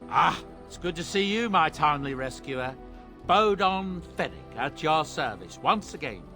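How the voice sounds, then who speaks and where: A man's voice: on the high side, very animated, unhurried, sharp tone, soft background music, heavily acted. An actor playing a jovial, talkative dwarf merchant with folksy salesman patter in a video game. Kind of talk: video game cutscene dialogue.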